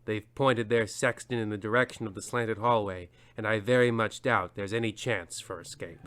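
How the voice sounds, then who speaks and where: A man's voice: lowish voice, steady tone, moderate pace. A man narrating and hosting a radio drama, solemn and talking straight to the listener. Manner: solemn, addresses the listener directly. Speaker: narrator and host.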